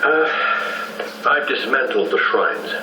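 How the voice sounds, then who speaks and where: An actor in a radio drama playing a brusque, dedicated and stubborn archaeologist. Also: male voice, mid-range voice, animated, slow pace, noisy background. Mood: restrained excitement. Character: brusque, dedicated English male archaeologist, plain-spoken and stubborn. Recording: roomy, distant radio copy with music.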